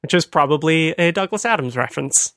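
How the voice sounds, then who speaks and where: Higher-pitched man, dry close sound. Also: expressive, brisk, fairly bright tone, no echo. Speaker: podcast host. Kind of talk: conversational retro computing commentary.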